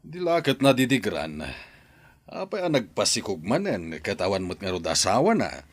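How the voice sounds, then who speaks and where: An actor with an Ilocano accent playing a practical, questioning married landlord in an audio drama. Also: male voice, lowish voice, wildly swooping pitch, normal speed. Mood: skeptical.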